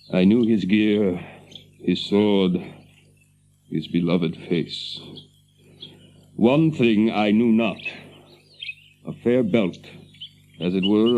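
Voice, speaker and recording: A noble war captain of a fantasy radio play, grave and grieving. The voice is low, with stately, old-fashioned, measured speech. The audio is dull, with noise and birdsong behind. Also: male voice, creaky, warm tone, full character voice. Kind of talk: radio fantasy drama acting.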